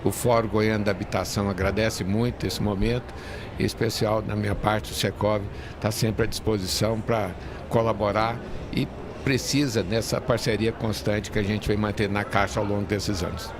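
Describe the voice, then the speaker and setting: A Brazilian man giving a casual interview as an association leader at a trade fair, halting and repeating words. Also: lowish voice, flat delivery. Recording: clear noise of a busy fair.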